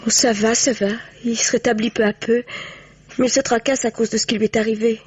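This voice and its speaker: Breathy, anxious young woman. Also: fairly high pitch, flat delivery, brisk, dry recording. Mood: anxious, hesitant. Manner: hesitant, pleading, melodramatic. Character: frightened, guilt-ridden young woman confiding in police. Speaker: actress in a radio mystery play. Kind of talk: 1950s French radio drama acting. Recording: muffled old radio recording.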